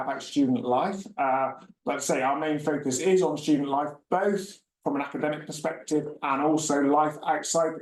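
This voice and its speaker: Man hosting a student panel webinar; friendly, filler-heavy delivery, echoey room, mic at a distance. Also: medium pitch. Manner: friendly, chatty, many filler uhs. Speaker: host, university regional manager.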